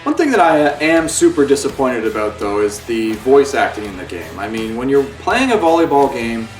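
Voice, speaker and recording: A man's voice: medium pitch, very animated, normal speed, far from the mic. A man hosting his own channel, giving an earnest, impassioned spoken review of a video game. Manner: earnest and impassioned, with pleading appeals. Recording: roomy echo, away from the mic, faint music.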